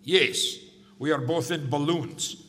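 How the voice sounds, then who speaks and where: A bumbling Russian spy drifting in a balloon, a sly, cheerful schemer with broken English and a heavy put-on Russian accent. The voice sits high and bright with a sharp edge. It rings out in a roomy hall reverb with music behind it. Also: male voice, slow, a little hiss or hum. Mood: deadpan. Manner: broken English, jokey, evasive.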